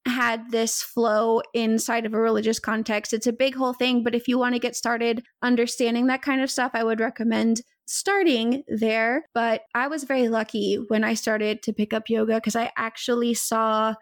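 A woman's voice: a little high, level tone, moderate pace, few pauses, no room sound, clean studio sound. A woman hosting a podcast interview, chatty and laughing often as she talks. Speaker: podcast host. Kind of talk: casual interview chat.

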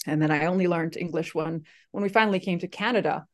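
Woman in her forties, lower voice, from Slovakia, Slovak native, chatty, halting storyteller. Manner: conversational, many fillers and restarts. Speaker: guest. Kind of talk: casual interview storytelling.

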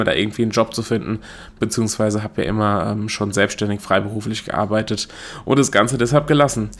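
A young adult man from Germany hosting his own solo podcast, talking in a chatty, self-correcting way and laughing at himself. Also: on the low side. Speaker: podcast host. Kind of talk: casual solo podcast monologue.

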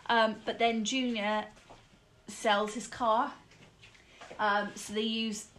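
A woman's voice: fairly high pitch, flat delivery, takes it slowly, a bit bright, a little hiss or hum, poor audio. A woman with a blocked-up nose, chatting casually and laughing often while recapping a film. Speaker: podcast co-host.

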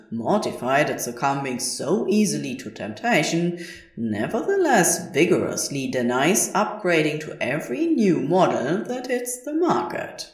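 Low, expressive, unhurried woman narrator. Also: slightly rough. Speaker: podfic narrator. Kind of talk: scripted fiction narration.

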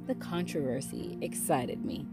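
A low-pitched woman hosts her own audio blog, talking casually before settling into a steady reading of her own short story. Her voice sits deep in her range throughout. Music plays clearly behind her. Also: unhurried. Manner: casual, relaxed intro, then steady storytelling. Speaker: podcast host and author.

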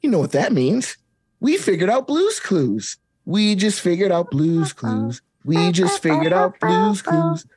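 A man's voice: sits high, very animated, measured pace, darkish tone, husky, heavily acted. An actor playing an upbeat young male kids' TV host in a comedic table read, singsong and talking straight to the viewer. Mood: cheery.

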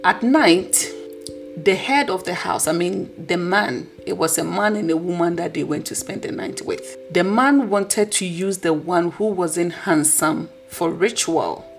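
A woman with a husky voice hosting a podcast, delivering an earnest, preachy motivational talk. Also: lowish voice, a little slow, soft background music. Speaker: podcast host.

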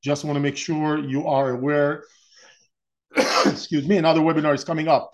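A man's voice: fairly high pitch, little pitch movement, a little fast. A man in his forties from Israel with an Israeli accent, hosting an investing webinar in a rambling, explanatory way with frequent restarts. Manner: many restarts and fillers, explanatory. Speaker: webinar host. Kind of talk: webinar presentation.

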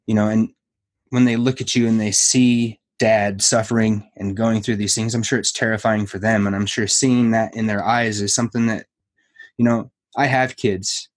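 A man with a lowish voice speaks earnestly, stringing long reflective thoughts together as he leads into a question. The sound is dry and close-miked. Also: dry recording. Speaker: podcast host. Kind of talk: conversational interview.

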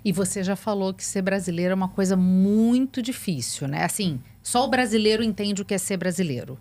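A woman co-hosting a casual interview, chatty and leading with rapid-fire questions. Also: medium pitch, a little mellow. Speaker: podcast co-host.

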